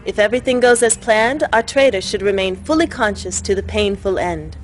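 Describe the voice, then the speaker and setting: A woman's voice: mid-range voice, animated, fairly quick, natural voice. A woman playing a propaganda news anchor, speaking with polished, gleeful relish in a scripted broadcast style. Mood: eager, gloating.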